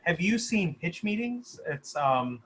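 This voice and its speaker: A man's voice: high voice, sing-song, slow. Man chatting informally over noisy call. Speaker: writer and producer of a short film. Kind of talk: casual video-call discussion of a script.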